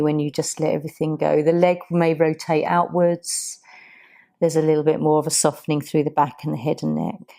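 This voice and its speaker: A woman yoga teacher guides stretches and breathing in a soothing, unhurried manner. Her voice sits fairly low and has a warm, mellow tone. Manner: soft, soothing, unhurried guidance. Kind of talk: guided yoga class instruction.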